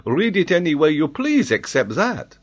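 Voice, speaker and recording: A man voicing an excitable, triumphant French geographer who argues emphatically and draws out his key words. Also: a little high, normal speed, voice pushed up. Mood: emphatic, triumphant.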